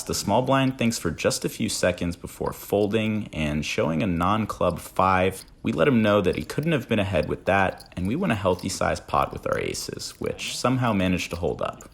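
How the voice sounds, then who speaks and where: Man, deep voice, animated pitch movement, scripted vlog voice-over, wry commentary. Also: creaky. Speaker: poker vlogger narrating hands.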